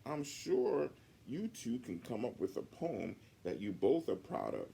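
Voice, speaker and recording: A man's voice: mid-range voice, huge pitch swings, measured pace, gravelly, mellow, husky, full character voice. A man voicing a motherly, encouraging female owl schoolteacher in a storybook. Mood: reassuring. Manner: kindly, reassuring.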